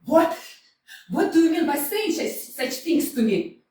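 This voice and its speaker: Woman playing indignant young widow, scornful, slow delivery, distant mic in a roomy hall. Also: high voice, very animated, mellow, a little hiss or hum. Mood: shocked. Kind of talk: staged reading of a stage play. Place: small community theater. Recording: echoey room, far from mic.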